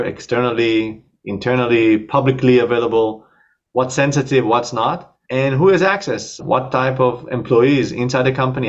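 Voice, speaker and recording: Israeli man on a dull video call. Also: mid-range voice. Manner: fluent, with frequent uh fillers. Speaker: startup co-founder guest. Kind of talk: casual interview talk.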